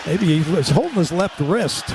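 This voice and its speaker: A man calling live radio basketball play-by-play in clipped, excitable running descriptions. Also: fairly high pitch, moderate pace. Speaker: play-by-play announcer. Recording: courtside with loud crowd and gym noise. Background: crowd and arena ambience.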